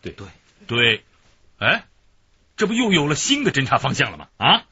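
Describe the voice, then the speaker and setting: A man's voice: medium pitch, huge pitch swings, normal speed, slightly rough, dry recording, heavily acted. An actor playing a hearty, avuncular male police chief in a radio drama, coaxing and exclaiming. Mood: encouraging, jovial. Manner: coaxing, exclamatory, repeats himself. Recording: muffled radio drama, loud background noise.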